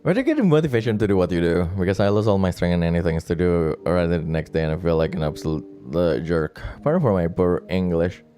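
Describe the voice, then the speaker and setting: A man's voice: low voice, expressive, fast talker, few pauses, mellow. A man chatting casually and rambling on a late-night stream, with many restarts and laughs. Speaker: virtual streamer.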